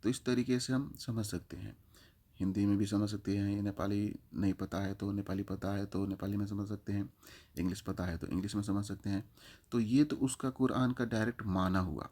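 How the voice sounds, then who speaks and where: A man's voice: fairly low pitch, steady tone, fairly quick, warm tone. A man giving an informal step-by-step app walkthrough, explaining with examples and repeating his instructions. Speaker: app tutorial presenter.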